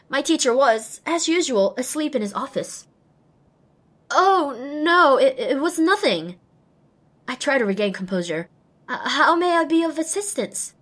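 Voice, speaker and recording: A young woman voicing an earnest, self-deprecating young female pony apprentice, narrating an audio drama expressively and wryly. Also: a little high. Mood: flustered. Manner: expressive, wry. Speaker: lead and first-person narrator.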